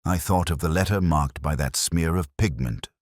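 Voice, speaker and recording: A man narrating a first-person detective story in a measured, literary, melancholy manner. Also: a bass voice, dry recording, studio quality.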